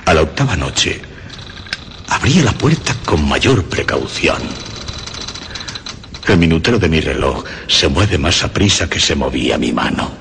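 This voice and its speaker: An actor playing a deranged, cunning murderer in a radio horror monologue, brooding and theatrical, with dramatic pauses and sudden swells. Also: male voice, extremely low pitch, animated, vocal fry, a bit bright, full character voice. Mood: sly, mock-cordial. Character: deranged, cunning murderer confessing with morbid pride. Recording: long reverb, faint background noise.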